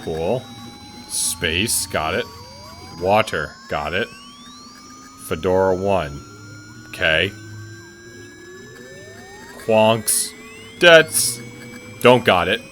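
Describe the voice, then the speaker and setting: A man commenting loudly and jokingly while playing a game. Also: sits low, lively intonation, extremely slow, pauses often, a little hiss or hum. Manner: loud, joking reactions. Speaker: game streamer. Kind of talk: let's play commentary.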